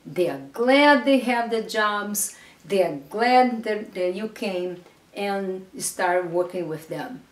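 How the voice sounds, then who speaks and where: A woman's voice: mid-range voice, slow, distant mic. Woman in an echoey room. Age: middle-aged. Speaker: business presenter pitching investments. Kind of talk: unscripted promotional talk to camera. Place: small bare room indoors. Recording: echoey room, off-mic, faint noise.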